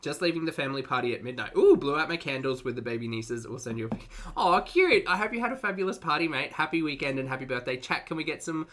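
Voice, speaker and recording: A man's voice: on the high side, animated, fast talker, few pauses, a bit bright, slight background noise. An Australian man hosting a casual livestream, chatting to viewers in a playful, talkative way with cutesy slang. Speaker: livestream host and reactor.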